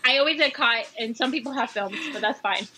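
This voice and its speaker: A woman guest chatting casually and warmly, with lots of fillers and easy laughter. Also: fairly high pitch, fairly quick, background noise. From New York. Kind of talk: casual conversation.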